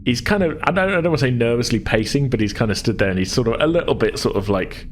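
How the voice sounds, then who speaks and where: A man's voice: medium pitch, animated, quick pace, a little hiss or hum. A man narrating an improvised tabletop game as game master, feeling his way through descriptions with hedged, searching phrasing.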